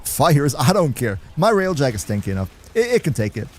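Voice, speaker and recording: A man's voice: medium pitch, big pitch swings, fairly quick, darkish tone. A young German man with a German accent, hosting a casual gaming livestream, chatting with viewers and reading the chat between laughs. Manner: chatty, reads and answers chat, laughs often. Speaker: livestream host.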